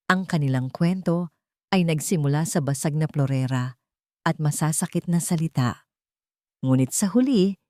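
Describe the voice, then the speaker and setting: A woman narrating a scripted romance story in a sentimental, deliberate way. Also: deep voice, dark tone, dry recording, clean studio sound.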